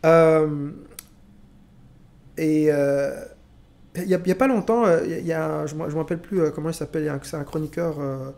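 Hesitant man, higher voice, pondering aloud. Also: fairly quick, creaky, pauses often, a little mellow. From Rwanda. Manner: hesitant, many restarts and fillers. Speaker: podcast host. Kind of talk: reflective conversation. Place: small room with pink walls, indoors. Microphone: studio mic on boom arm.

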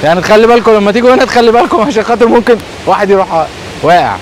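A man in his thirties with an Egyptian Arabic accent, vlogging excitedly outdoors and in pavilions, talking straight to viewers. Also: high-pitched, rapid-fire, poor audio. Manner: excitable, chatty, addresses viewers directly. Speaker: travel vlogger. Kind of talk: casual travel vlog. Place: outdoor expo grounds and indoor pavilions.

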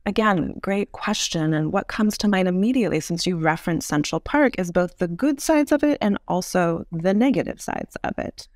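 A woman's voice: fairly low pitch, animated, normal speed, few pauses, no room sound, clean studio sound. A woman giving thoughtful, articulate explanations as an interview guest, weighing her points with care. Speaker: podcast guest, urban design expert.

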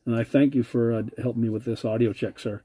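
A man's voice: mid-range voice, steady tone, fast talker, warm tone, husky. A man making a CB radio contact, polite and using radio procedure words. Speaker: CB radio operator.

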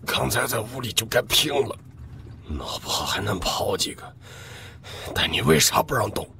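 Man as a gravelly, bitter battered gangster. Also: lowish voice, lively intonation, normal speed, pushed and bright, exaggerated voice. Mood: bitter, resentful. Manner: muttering, resentful. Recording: noisy ambience behind.

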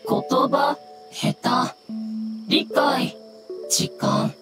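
A woman's voice: high-pitched, extremely slow, over loud music. A woman voicing a small, earnest game fungus creature that speaks brokenly, one word at a time. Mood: apologetic, halting. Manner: broken speech in isolated words with long gaps.

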